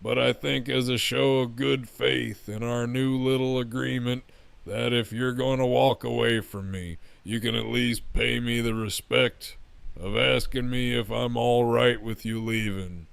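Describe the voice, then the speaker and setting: A man playing a swaggering, menacing gang leader in an audio drama, with a gravelly voice and a taunting, crude, smug drawl. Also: mid-range voice, level tone, unhurried, no room sound. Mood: smug, controlling. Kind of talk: full-cast fan audio drama acting.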